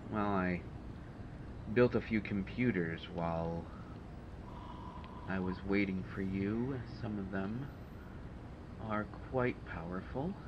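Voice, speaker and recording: A man playing a testy, sardonic supervillain genius in an audio roleplay, speaking in a dry, haughty, hesitant way with exasperation. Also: lowish voice. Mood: smug, focused. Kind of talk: intimate audio roleplay monologue to a listener. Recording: dull, noisy home recording.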